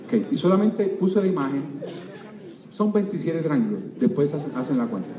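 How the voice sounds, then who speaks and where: An older man with a Cuban accent giving an energetic motivational seminar talk, constantly quizzing his audience. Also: fairly high pitch, normal speed. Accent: Cuban Spanish. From Cuba. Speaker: seminar speaker. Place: large auditorium. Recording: echoey hall, distant mic, faint crowd.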